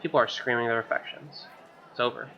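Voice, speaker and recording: A man's voice: medium pitch, fairly even intonation, fast talker, dull audio, a little hiss or hum. A man doing casual game commentary and reading aloud from a visual novel.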